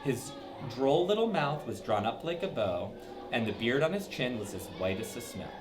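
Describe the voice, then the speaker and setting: Lively man reading a story to kids. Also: medium pitch, moderate pace, over music, rough recording. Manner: lively, sing-song, with dramatic swoops. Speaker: storybook reader for children. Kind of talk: reading a rhyming story aloud.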